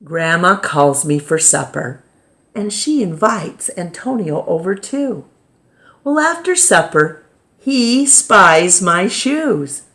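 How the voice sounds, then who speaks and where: A woman reading a children's picture book aloud as storytime reader, with dramatic pauses and emphasis. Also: fairly low pitch, expressive, fairly bright tone.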